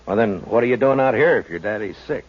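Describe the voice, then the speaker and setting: An American man playing a steady, dryly good-humored U.S. marshal in a radio western, plain-spoken and easygoing. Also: fairly low pitch, fast. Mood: concerned, businesslike. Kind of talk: 1950s radio western acting and narration. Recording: dull, hissy vintage radio recording.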